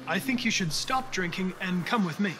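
A young male android with an American accent and a fairly high voice. He is courteous, formal and unflappable, choosing measured words like a game character built to serve. Prominent music plays behind him. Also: lively intonation. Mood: calm, formal. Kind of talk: video game performance capture acting.

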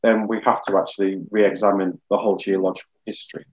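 A man in his thirties explaining science informally and in a measured way, as a guest geologist on a webinar. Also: low voice, level tone, dull audio. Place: small plain room indoors. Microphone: video call.

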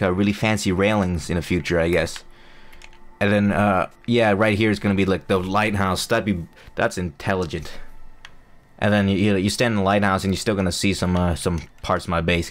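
A young man, not a native English speaker, chatting loosely over his gameplay with lots of fillers and restarts. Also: deep voice. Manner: rambling, self-mocking, full of fillers and restarts. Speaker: gaming YouTuber. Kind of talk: casual gameplay commentary.

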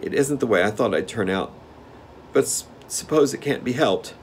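A man's voice: medium pitch, lively intonation, moderate pace, a little hiss or hum, pitched above the performer's own voice, close to an everyday voice. A man voicing an earnest, plucky little farm girl in a plain-spoken storybook manner. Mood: resigned.

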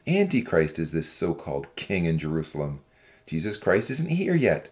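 Male actor as suspicious young zealot, accusing, declamatory, low pitch, animated intonation, audiobook drama. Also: normal speed. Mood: accusing, alarmed. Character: fervent, suspicious young man, a religious heckler.

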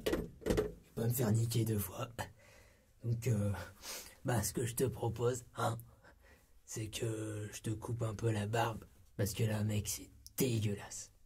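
Shady, cheeky young ex-con barber played by a man for comedy ASMR; very husky, soft delivery, unhurried and slangy. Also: fairly low pitch, exaggerated voice. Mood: mock-menacing, teasing. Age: young adult. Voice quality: breathy, soft-spoken, often whispering. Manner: slangy, hesitant, casual. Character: shady ex-con rookie barber, laid-back, cheeky streetwise male dealer. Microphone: close binaural microphone.

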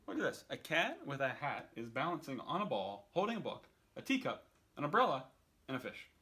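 A man's voice: mid-range voice, wildly swooping pitch, a little fast, bright, forceful tone. A man in his thirties reading a picture book aloud expressively for children, with casual asides. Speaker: story time reader. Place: indoors on a couch.

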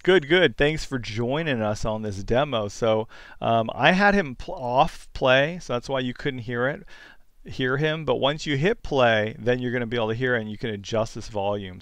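A man giving a casual, easygoing software tutorial as host, thinking aloud as he goes. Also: mid-range voice, normal speed, dry recording. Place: indoors at a computer, screen-recorded demo. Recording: music bed playing behind the voice. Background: music.

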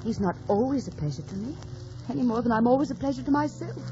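An actress playing a willful, passionate young gentlewoman in a theatrical, ardent radio drama style. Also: fairly high pitch, lively intonation, fast talker, music in the background, natural voice. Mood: fervent, torn. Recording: dull, noisy old radio broadcast.

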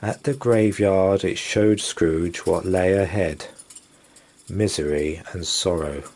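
Slow, deep-voiced male storyteller. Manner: deliberate, expressive, theatrical delivery. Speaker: storyteller reading a children's book aloud. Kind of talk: dramatic rhyming bedtime story narration.

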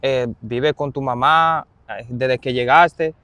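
A man conducting a casual interview outdoors, phrasing his questions in a halting, roundabout way. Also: mid-range voice, pushed and bright, clean tone, dry recording. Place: outdoors in an open park.

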